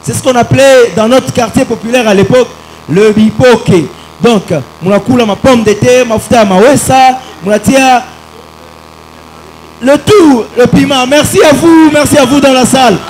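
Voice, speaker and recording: A man's voice: extremely high pitch, fairly even intonation, moderate pace, slight background noise, rough recording. A man telling a nostalgic story as a radio show contestant. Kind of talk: anecdotal answer on a radio show.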